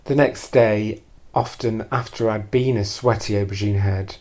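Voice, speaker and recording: A man telling a first-person confessional story in a deadpan, deliberate and hesitant way, repeating phrases for emphasis. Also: on the low side. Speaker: storyteller performing a personal monologue.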